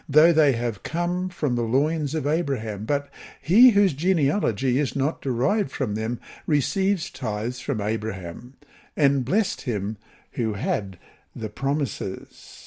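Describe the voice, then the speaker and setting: A man reading a scripted Bible lesson and scripture passages in a measured, deliberate way. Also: medium pitch, mellow. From Queensland, Australia. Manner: measured, deliberate read-aloud delivery. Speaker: lesson reader.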